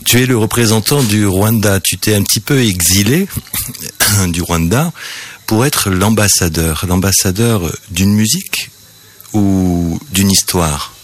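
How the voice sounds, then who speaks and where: Low-voiced man as interviewer, thoughtful, long reasoning questions. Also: measured pace.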